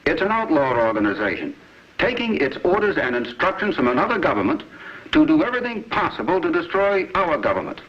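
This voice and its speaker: A man giving a forceful, deliberate, denunciatory political speech. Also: on the high side, big pitch swings. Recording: dull lo-fi archival broadcast audio.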